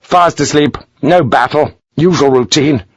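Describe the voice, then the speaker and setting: A man's voice: a little high, unhurried, slightly rough, no echo, muffled recording, pitched above the performer's own voice. A man voicing a bored young cavalry lieutenant who speaks in a languid, offhand way.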